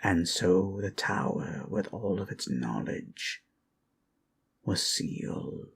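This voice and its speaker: Very deep, gravelly ancient lich. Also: male voice, lively intonation, a little hiss or hum, heavily acted. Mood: grave, solemn. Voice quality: gravelly, breathy, often dropping to a whisper. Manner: slow, ominous, theatrical, with dramatic pauses. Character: ancient powerful undead lich, brooding loremaster of a fantasy world. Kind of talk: ASMR roleplay storytelling.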